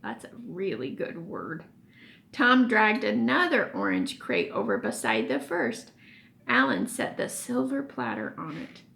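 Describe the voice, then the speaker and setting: A woman teacher reading a children's story aloud expressively, pausing for emphasis and explaining things as she goes. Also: fairly low pitch, sing-song, vocal fry, a bit bright.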